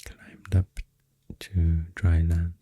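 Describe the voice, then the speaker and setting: A deep-voiced man with a Swedish accent speaks in a very breathy, soft ASMR register. He rambles through explanations slowly and hesitantly, often slipping toward a whisper. The sound is close to the mic and dry. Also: level tone, dry recording. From Sweden. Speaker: ASMR creator. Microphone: close microphone. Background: pencil writing and clicking sounds.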